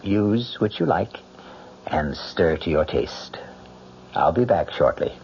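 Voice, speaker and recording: A man hosting a radio drama with scripted narration, wry, theatrical and measured. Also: low voice, lively intonation, creaky. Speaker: host and narrator. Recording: muffled old radio recording.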